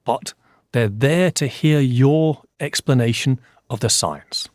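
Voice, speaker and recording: An older man from Scotland, a forensic scientist, answering interview questions in a measured, explanatory way and repeating key points for emphasis. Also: medium pitch, no room sound, clean studio sound.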